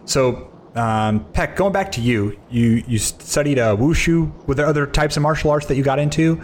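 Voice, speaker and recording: A man's voice: medium pitch, moderate pace, slight background noise. Enthusiastic male podcast host. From United States. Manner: enthusiastic, amazed interjections. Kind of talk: casual interview.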